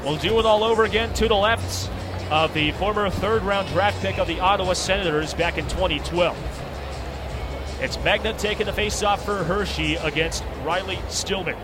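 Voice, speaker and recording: A man's voice: sits high, normal speed, music in the background. A man giving live radio play-by-play of ice hockey, with clipped, present-tense calls. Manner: clipped present-tense calling, rising to excited calls at big moments. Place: broadcast position high above the rink in an arena.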